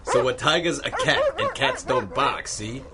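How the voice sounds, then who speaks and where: A bossy 1930s gangster crook. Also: male voice, medium pitch, wildly swooping pitch, unhurried, very noisy. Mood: scheming, confident. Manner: gruff tough-guy gangster slang. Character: bossy male 1930s crook hatching a robbery caper. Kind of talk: 1930s radio drama acting.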